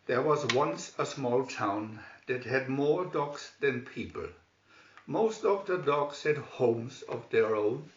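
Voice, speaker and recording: A man reading a scripted children's story in a deliberate manner, announcing pictures as a narrator. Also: medium pitch. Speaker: storybook reader. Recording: muffled, echoey room recording.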